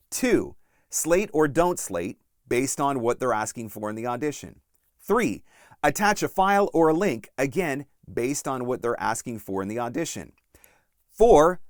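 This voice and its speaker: A man in his forties speaks as an instructor hosting his own channel. His pitch is medium and moves about in a lively way. He stresses key points deliberately, and the sound is dry with little room echo. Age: 40s. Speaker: voice-over coach and channel host. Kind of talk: instructional talk to camera.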